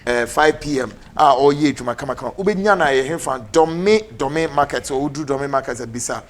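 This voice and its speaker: A man with a Ghanaian English accent hosting a TV talk show, mixing English and Twi in brisk announcements. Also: medium pitch. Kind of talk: TV political talk show, closing with ads. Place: TV studio.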